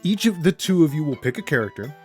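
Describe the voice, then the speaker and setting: Animated man hosting a podcast. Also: fairly high pitch, quick pace, soft background music. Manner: playful, jokey introductions. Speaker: podcast host. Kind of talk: casual podcast chat.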